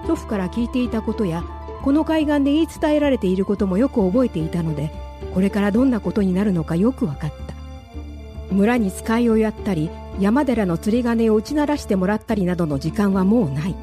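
Female storyteller for radio drama narration, warm mellow timbre, scripted dramatic delivery over a music bed. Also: medium pitch, expressive, fairly quick.

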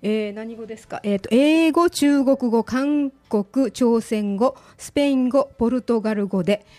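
A woman's voice: fairly high pitch, unhurried, a little mellow, no echo. A woman with a Kansai accent explaining things politely and conversationally on a talk show, as a guest expert. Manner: polite, explanatory, with dialect asides. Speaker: guest disaster-information expert.

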